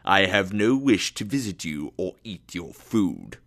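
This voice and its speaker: A man's voice: low voice, sing-song, unhurried, gravelly, a bit bright, heavily acted. Storybook role: grumpy, miserly older uncle, curt and contemptuous, performed by a male reader. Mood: curt, dismissive. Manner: curt, scornful, snapping.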